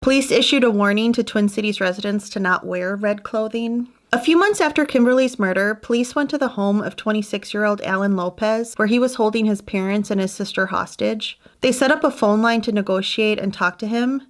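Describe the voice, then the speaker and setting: A woman narrates a true crime case in a relaxed, chatty way, stopping for her own asides and doubts. Her voice sits at an ordinary middle pitch. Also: fairly quick, hardly any pauses. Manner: conversational, with personal asides and doubts. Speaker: true crime channel host.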